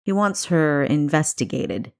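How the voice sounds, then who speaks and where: Woman voicing a stern, low-pitched male spymaster. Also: lively intonation, a little slow, gravelly, a little mellow, full character voice. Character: gruff older male spymaster, stern and teasing.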